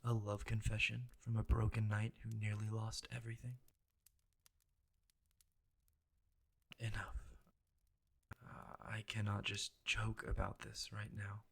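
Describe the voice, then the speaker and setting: Male actor as earnest fantasy knight, low-pitched, formal and self-reproaching, roleplay audio, roomy echo. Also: monotone, normal speed, creaky, fairly bright tone. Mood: anguished, tender. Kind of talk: ASMR romantic fantasy roleplay acting. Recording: roomy echo, faint noise, clicks.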